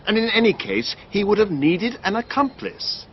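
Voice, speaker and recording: An actor playing an anxious upper-class English country gentleman in a radio drama, well-spoken, agitated and fretful. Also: sits high, big pitch swings, moderate pace, slightly rough, dull audio, heavily acted. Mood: anguished, fretful.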